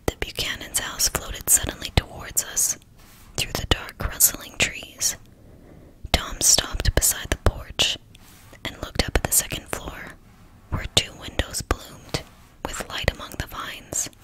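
A woman reading a novel aloud in a steady, hushed whisper. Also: a little slow, low-quality recording. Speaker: narrator.

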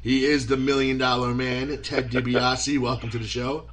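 A man's voice: medium pitch, flat delivery, normal speed, a bit bright. A man hosting a podcast interview, asking eager questions with frequent stammers. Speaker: podcast host. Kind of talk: casual interview.

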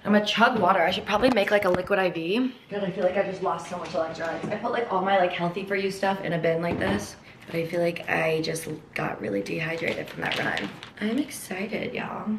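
A young woman with a twang talks to her camera while she moves around her kitchen and balcony. Her voice sits a touch low, and she chats in an upbeat way, full of fillers. A steady hiss of background noise runs under the recording. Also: a little fast, reverberant. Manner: chatty, upbeat, heavy use of "like" and "y'all". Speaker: vlogger. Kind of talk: casual vlog chat to camera. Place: home kitchen and apartment balcony.

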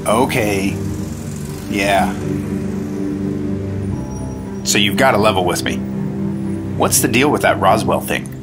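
A man's voice: lowish voice, measured pace, natural voice. A man playing an earnest 1950s FBI agent. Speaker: Agent Cooper. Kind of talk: 1950s radio drama acting. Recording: music score underneath.

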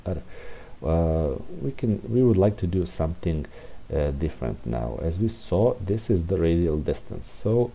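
Low, mellow, slow-talking man thinking aloud. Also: very animated, creaky. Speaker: presenter of a programming tutorial. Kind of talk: informal unscripted screencast walkthrough of code. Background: room heater hiss.

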